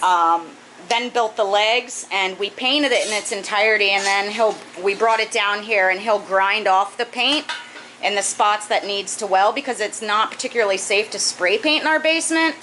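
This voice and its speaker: A woman's voice: medium pitch, moderate pace, sharp tone, slight background noise, poor audio. A woman in her thirties casually explaining a project step by step in her basement fish room, with a few fillers. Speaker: aquarium hobbyist vlogger.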